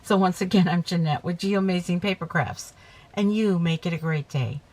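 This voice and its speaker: Woman host of a home craft tutorial, chatty and self-correcting, a bit hoarse, lower voice, faint background hiss. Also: normal speed, darkish tone. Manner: chatty, talks while working, self-correcting. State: slightly hoarse, coming down with a cold. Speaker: paper craft demonstrator.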